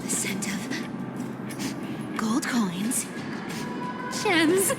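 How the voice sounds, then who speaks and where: A woman's voice: high voice, very animated, drawn-out words, loud music behind the voice, exaggerated voice. A woman voicing a sly, teasing, haughty cat-demigod thief in a video game, with a playful, theatrical, bossy delivery. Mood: gleeful, greedy. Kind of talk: dubbed video game character acting.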